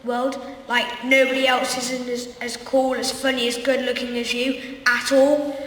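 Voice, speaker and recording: A woman's voice: fairly high pitch, flat delivery, measured pace, fairly bright tone. A woman playing a vain, self-absorbed famous schoolboy wizard with a boastful teenage drawl in a comic amateur play, recorded in an echoey hall. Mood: gushing, self-satisfied. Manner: boastful, drawling teen "like" talk. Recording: echoey hall, distant mic, faint noise.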